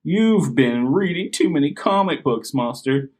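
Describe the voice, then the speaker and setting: A man voicing a monster mother in a storybook read-aloud, giggly and slowly soothing. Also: a little high, expressive, darkish tone, voice pushed up. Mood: amused. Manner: giggly, slow, soothing bedtime scolding. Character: monster mother, amused and dismissive.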